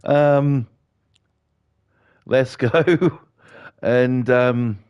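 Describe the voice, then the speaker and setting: A middle-aged British man chatting and ranting with lots of swearing while he plays a game and talks to viewers, his voice a bit croaky. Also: mid-range voice, extremely slow, lo-fi sound. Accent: British English. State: slightly croaky. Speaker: gaming livestreamer. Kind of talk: casual chat while playing a game.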